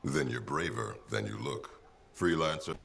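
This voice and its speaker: A gruff, humorless commander from a video game cutscene. His voice sits at the very bottom of the range, rough with gravel. He speaks in clipped, disapproving lines. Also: unhurried, a bit bright, exaggerated voice. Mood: stern. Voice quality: deep gravelly voice. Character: stern gruff male military commander. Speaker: military commander.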